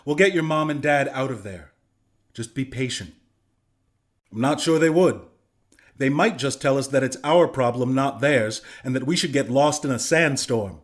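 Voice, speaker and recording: A man's voice: mid-range voice, moderate pace, close to an everyday voice. A young man playing an earnest, plainspoken griffin rebel and former soldier in a video game. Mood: measured, strategic. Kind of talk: video game character dialogue.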